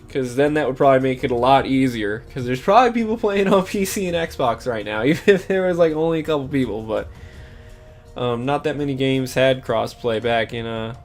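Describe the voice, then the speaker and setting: A young man chatting casually while streaming a game, stuffy-nosed and sniffling, rambling with many fillers. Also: mid-range voice. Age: young adult. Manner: rambling, lots of fillers and self-corrections. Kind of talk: casual gameplay stream chat.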